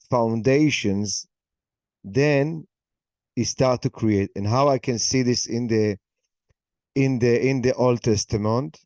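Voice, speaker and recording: Slow, mellow-voiced man explaining scripture. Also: medium pitch, no room sound, studio quality. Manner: non-native English, halting. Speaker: participant in an online session. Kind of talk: explaining a Bible passage.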